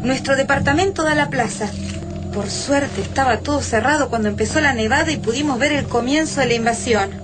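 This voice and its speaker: High-voiced young Argentine woman survivor played by an actress. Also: brisk, noisy background, close to an everyday voice. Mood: earnest, urgent. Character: beautiful young woman survivor, pleading yet alluring. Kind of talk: radio drama acting.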